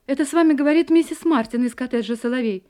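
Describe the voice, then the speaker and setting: High-voiced anxious wife played by an actress. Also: female voice, quick pace, dark tone, close to an everyday voice. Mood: urgent, controlled. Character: anxious wife hiding terror behind sweet domestic composure. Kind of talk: Russian audio drama acting.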